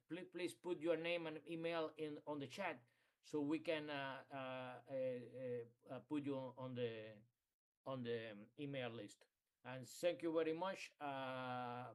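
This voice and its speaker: A man moderating an online meeting, introducing speakers haltingly with many fillers. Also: a little high.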